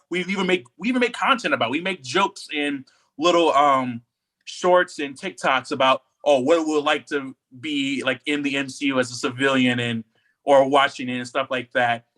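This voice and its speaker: A man chatting casually as a podcast co-host, rambling in an animated way and acting out imagined remarks. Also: on the high side.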